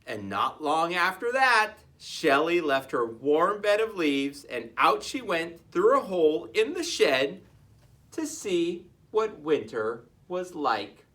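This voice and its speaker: Very animated, bright-voiced storybook reader. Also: medium pitch, slow. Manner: expressive, playful. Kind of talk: animated children's read-aloud narration with chatty asides.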